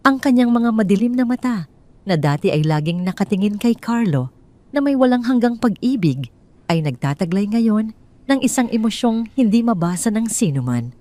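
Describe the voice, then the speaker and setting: A woman's voice: medium pitch, sing-song, normal speed, warm tone. A woman with a Filipino accent reading a scripted dramatic story as its narrator.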